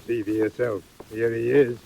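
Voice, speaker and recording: A man's voice: medium pitch, flat delivery, moderate pace, gravelly, warm tone, a little hiss or hum, close to an everyday voice, poor audio. Actor as workhouse master: British man, curt, blustering, self-important, medium register, 1940s drama delivery. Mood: curt, stern. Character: pompous, gruff, impatient middle-aged male workhouse master. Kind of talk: 1940s dramatised audio acting.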